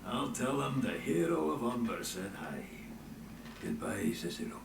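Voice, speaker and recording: Gruff bantering male sidekick for a fantasy game: gravelly, folksy, set back in a roomy space. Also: medium pitch, expressive, unhurried, recorded from a distance, background noise. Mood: gruff, fond. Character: gruff, teasing, loyal male comrade. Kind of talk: fantasy video game dialogue.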